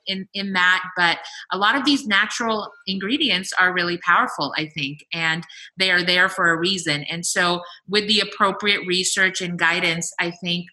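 A woman's voice: lowish voice, sharp tone, poor audio. A woman doctor co-hosting a podcast, talking enthusiastically and laughing often. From family from India. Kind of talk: casual podcast chat.

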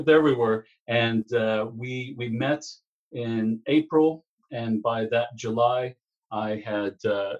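A man, a guest chatting casually and rambling with many restarts. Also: lowish voice. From Texas. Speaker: guest, business coach. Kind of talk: casual interview chat. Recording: dull lo-fi remote line.

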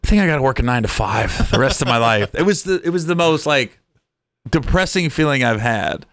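A stand-up comedian in his forties spins stories in an easy, conversational way. He acts out the people in his tales with quick, animated shifts. His voice sits in a middle register and sounds dry and close to the mic. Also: medium pitch, dry recording. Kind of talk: casual storytelling chat.

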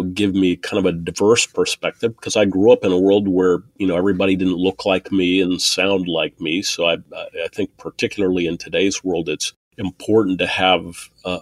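Deep-voiced middle-aged man, dry sound. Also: no echo. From born in Taiwan, raised in an Air Force family. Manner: thoughtful, many restarts and repeated words. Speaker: guest, technology executive. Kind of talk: podcast interview answers.

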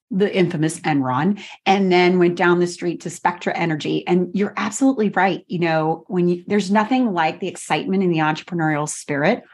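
Effusive woman hosting an interview. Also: on the low side. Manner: effusive, praising, conversational. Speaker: interview host. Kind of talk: interview conversation.

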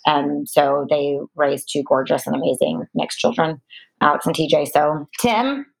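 A woman co-hosting a podcast, gushing warmly and enthusiastically as she introduces a guest. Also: low-pitched, measured pace, vocal fry, rough recording. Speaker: podcast co-host. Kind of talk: casual podcast chat.

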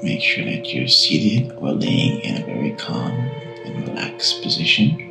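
A man guiding a meditation in a calm, hushed, often breathy delivery, in long unhurried phrases. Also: a bass voice. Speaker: guided meditation guide. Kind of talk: slow guided meditation narration. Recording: roomy echo with music behind. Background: singing bowl tones.

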